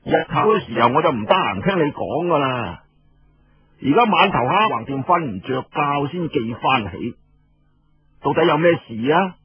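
A man's voice: fairly high pitch, animated, moderate pace, slightly rough, dull audio. Man acting jumpy warlord with raised voice. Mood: brusque. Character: paranoid, sleepless warlord, a proud elder brother.

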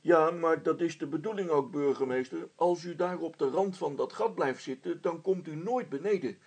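A man voicing a practical, unruffled handyman who coaxes and gently teases in a children's story. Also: a little high, moderate pace, slightly rough, no echo. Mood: patient, teasing. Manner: matter-of-fact, gently teasing, encouraging.

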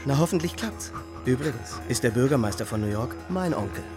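Actor as Wellington Mabe, breezy young boaster. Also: male voice, mid-range voice, animated, brisk, natural voice. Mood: breezy, boastful. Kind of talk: radio play acting. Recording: music behind the voice.

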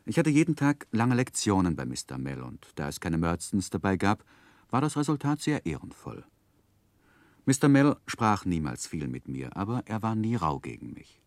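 Deep-voiced, reflective narrator. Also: male voice, a little mellow, no echo. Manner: literary, reflective. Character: grown man recalling his sorrowful boyhood. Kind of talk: 1960s German radio drama narration.

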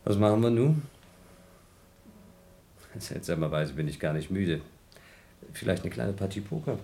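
A lowish, wry moon-station astronaut. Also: male voice, very animated, measured pace, vocal fry, mellow, exaggerated voice. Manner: wry, restless. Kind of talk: radio play acting.

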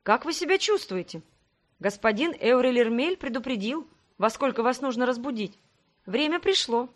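Woman as horned young receptionist, high voice, polite, friendly, fantasy audiobook. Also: lively intonation, normal speed, close to an everyday voice. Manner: courteous, friendly.

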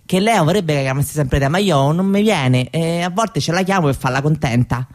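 An actress playing an easygoing young Roma daughter, chatting colloquially in a Roman accent as part of a theatre monologue. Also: female voice, deep voice, fairly quick, a little mellow, lightly acted. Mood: fond, casual. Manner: colloquial, chatty, answering an unseen listener. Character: easygoing young Roma daughter reminiscing about camp life.

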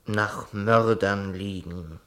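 An actor playing a languid, teasing young brother in a German radio drama, narrating and chatting with easygoing irony. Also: male voice, on the low side, steady tone, extremely slow, a bit bright, clear, resonant tone. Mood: languid, ironic. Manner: ironic, leisurely.

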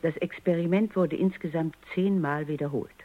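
An actress playing a stern, coolly analytical robot psychologist in a radio drama, precise, commanding and didactic. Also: female voice, deep voice, moderate pace. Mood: measured narration. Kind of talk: German radio drama acting. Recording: muffled, dull radio drama recording.

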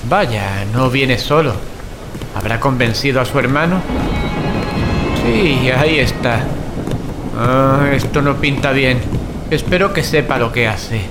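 An actor playing a hurried, wary young boatman in an audio drama. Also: medium pitch, expressive. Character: young man preparing a getaway boat, hurried and wary. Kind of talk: audio drama acting with inner monologue. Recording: voice over loud background music.

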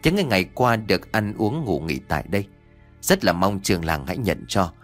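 A man voices a calm, philosophical traveller in a spoken tale. His speech is low, courteous and measured. Soft music sits in the background. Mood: grateful.

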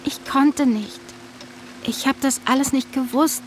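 A young woman with a high voice in a radio drama, a long-lost sister who feels her way hesitantly toward something intense. Her delivery is naturalistic, with faint music behind it. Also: fairly even intonation, unhurried. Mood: confessing, pained. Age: young adult. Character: long-lost abducted younger sister posing as drenched lost hiker.

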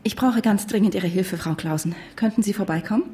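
An actress playing a distraught, insistent wife who also narrates in a German radio drama. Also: female voice, medium pitch, brisk, warm tone, a little hiss or hum, lightly acted. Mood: urgent, insistent. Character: distraught, insistent wife facing an impostor husband. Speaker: lead actress and first-person narrator.

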